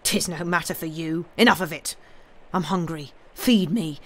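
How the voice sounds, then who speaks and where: A narrator voicing a bitter, scornful male blackmailer in an audiobook, forceful and declamatory. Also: medium pitch, moderate pace, close to an everyday voice. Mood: menacing. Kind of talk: dramatic audiobook character voice.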